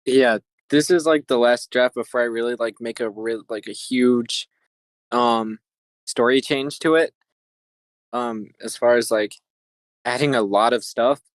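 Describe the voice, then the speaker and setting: A man talking casually and haltingly on a voice call as a writer asking for feedback. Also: medium pitch, no room sound. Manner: halting, hesitant, many pauses and hedging words. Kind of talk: casual spoken remarks on a voice call.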